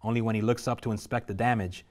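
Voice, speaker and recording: A man narrates the action lines at a script table reading. His voice sits low, and he reads with steady, vivid pacing. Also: fast talker. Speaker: narrator reading stage directions.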